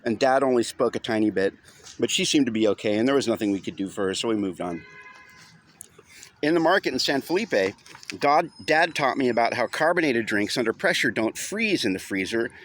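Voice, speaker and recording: A man narrating a first-person audiobook story in an informal, wry, conversational way. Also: medium pitch.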